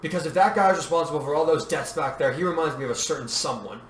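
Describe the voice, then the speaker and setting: A man's voice: a little high, fast, close to an everyday voice. A man voicing an earnest, protective young warrior in a story, plain-spoken and determined. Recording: roomy echo, distant mic, faint noise.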